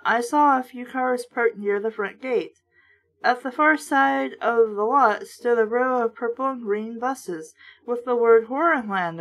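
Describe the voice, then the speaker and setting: A woman with a fairly high voice hosts a read-aloud of a children's book. Her narration is casual and conversational, with a sardonic, blunt edge to her commentary. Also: fairly bright tone. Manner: sardonic, blunt, swearing asides. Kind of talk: storybook narration with casual asides.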